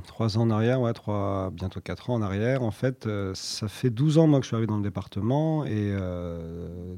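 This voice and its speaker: A middle-aged French-born man with a low, mellow voice talks about his music in a loose, rambling way, full of fillers. The sound is close and dry, with no room around it. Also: dry recording. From France. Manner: relaxed, rambling with many fillers. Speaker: musician guest. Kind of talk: casual interview answers.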